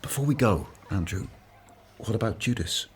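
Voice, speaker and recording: An actor playing a humble, self-doubting former tax collector in a radio play, earnest and hesitant. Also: male voice, fairly low pitch, animated, moderate pace, slight background noise. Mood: uneasy, doubtful.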